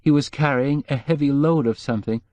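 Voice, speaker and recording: A man's voice: on the high side, brisk, warm tone, no echo, pitched above the performer's own voice, close to an everyday voice. An anxious, whimpering housekeeper, as a male narrator puts her on, her voice lifted well above his own and hesitant. She frets and trails off in an audiobook reading, recorded close and dry. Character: nervous timid middle-aged female housekeeper.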